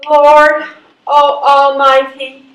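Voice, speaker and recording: A woman's voice: high-pitched, level tone, extremely slow, muffled recording. A woman acting an exasperated, desperate older woman seeking help in a readers theatre performance. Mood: pleading. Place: live theatre performance in a hall. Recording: echoey live stage, distant mic.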